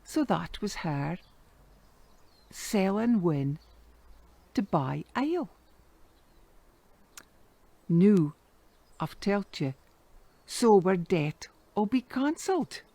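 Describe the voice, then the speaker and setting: Woman putting on a cheeky clever farm boy, Doric accent, high bright voice, dry close sound. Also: very animated, extremely slow, creaky, long pauses, no echo, heavily acted. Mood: sly. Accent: Scottish, Doric.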